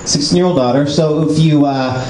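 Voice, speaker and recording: High-voiced middle-aged man doing echoey stand-up. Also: normal speed. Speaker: stand-up comedian. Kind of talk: live stand-up comedy. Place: small comedy club stage with audience. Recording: echoey comedy club, loud audience noise. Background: loud audience laughter and noise.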